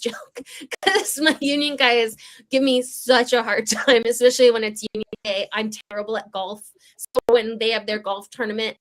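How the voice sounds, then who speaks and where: A woman's voice: medium pitch, poor audio. A young adult woman with a very young-sounding voice, a candidate talking earnestly and chattily in an interview, laughing between phrases. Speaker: congressional candidate, guest. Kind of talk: livestream interview talk.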